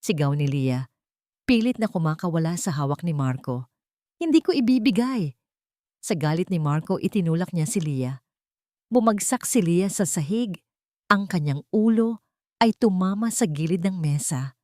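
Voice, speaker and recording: A woman's voice: on the low side, animated, normal speed, dark tone, no echo, clean studio sound. A woman with a Filipino accent narrating a dramatic scripted story.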